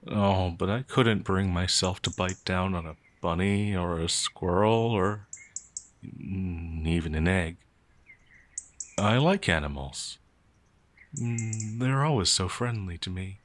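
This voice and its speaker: A man's voice: low voice, slow pace, no room sound. A man playing a timid, innocent werewolf farmhand in an audio roleplay, speaking in a hesitant, stammering, naively earnest way. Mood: shy, fond. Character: timid, gentle, innocent male werewolf farmhand, older than he appears. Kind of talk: audio roleplay character acting.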